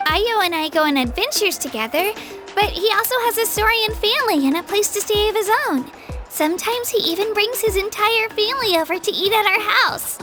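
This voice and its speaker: Game role: eager, earnest girl adventurer, very high pitched, friendly and chatty, over music. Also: expressive, a little fast. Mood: cheerful, explaining. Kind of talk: video game voice acting. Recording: music behind the voice.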